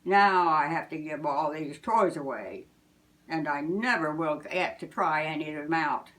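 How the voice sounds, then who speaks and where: A woman's voice: deep voice, a little slow, fairly bright tone. A woman voicing Santa Claus as a jolly, busy old man with a wistful streak, in a storybook reading. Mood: grumbling.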